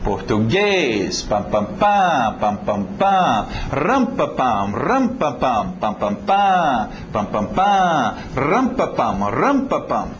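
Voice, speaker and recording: A man's voice: medium pitch, big pitch swings, drawn-out words, few pauses, muffled recording, slight background noise. A man with an American accent, a native English speaker, teaching a video lesson and stretching out words and chanting rhythm syllables to demonstrate them. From United States.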